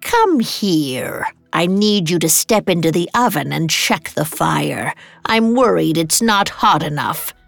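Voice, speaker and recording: A woman's voice: fairly low pitch, expressive, slow pace, creaky, few pauses, no room sound, soft background music, exaggerated voice. A woman voicing a cruel, impatient old witch in a storybook tale, menacing, scornful and demanding. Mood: commanding, sly.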